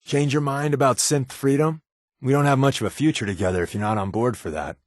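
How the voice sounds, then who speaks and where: An actor playing a wry, wisecracking American undercover spy companion in a video game, with a glib, sardonic and casual way of talking. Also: male voice, medium pitch, a little fast, dry recording, studio quality, close to an everyday voice. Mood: guarded, disapproving. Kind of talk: video game voice acting, conversational dialogue.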